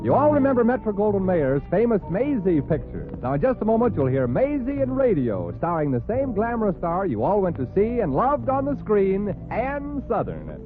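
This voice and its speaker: A man's voice: high-pitched, huge pitch swings, normal speed, few pauses. A man announcing a 1940s radio show from a script in a showy, declamatory manner. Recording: tinny 1940s radio, loud music behind. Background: loud brass theme music.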